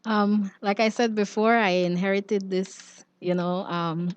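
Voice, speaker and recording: A woman's voice: medium pitch, fairly even intonation, measured pace, clean tone. A woman talking informally as an interview guest who coordinates a team.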